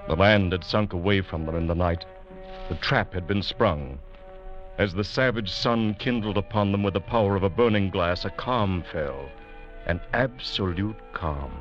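A man narrating a 1940s radio drama in a grave, deliberate, ominous manner, on an old radio recording. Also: deep voice. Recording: dull vintage radio recording, loud music.

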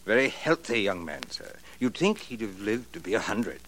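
Brief: a slick, sneering 1940s radio schemer, bright edgy tone, lively, old hissy broadcast. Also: male voice, fairly low pitch, moderate pace, rough recording. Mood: oily. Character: smooth, shifty male money manager. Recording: old radio recording with faint hiss.

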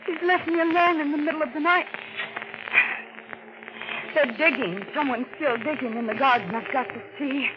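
An actress playing a terrified, fragile young wife in 1940s radio drama, breathless and melodramatic. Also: female voice, high-pitched, normal speed, long pauses, heavy background noise, natural voice. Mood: uneasy alarm. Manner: breathless, melodramatic, haunted self-questioning. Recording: muffled old radio broadcast with music.

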